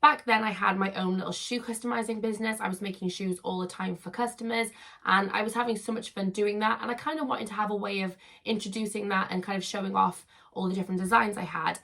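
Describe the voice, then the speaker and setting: A woman in her thirties, hoarse and croaky from losing her voice, chatting upbeat to camera as a YouTuber. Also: mid-range voice, pushed and bright. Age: 30s. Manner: chatty, upbeat, repeats words for emphasis. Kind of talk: casual chat to camera.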